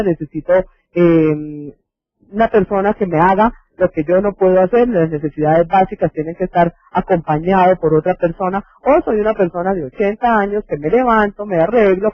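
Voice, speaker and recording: A man's voice: high-pitched, no echo. A man with a Colombian accent giving an explanatory health lecture in a didactic way, posing rhetorical questions. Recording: dull lo-fi videoconference audio.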